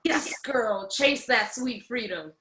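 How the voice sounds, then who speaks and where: A woman playing a brash, bossy young rebel from a fairy tale in a radio comedy, sassy, slangy and excitable. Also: fairly high pitch, slow. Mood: sassy, urging. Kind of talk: irreverent radio play acting.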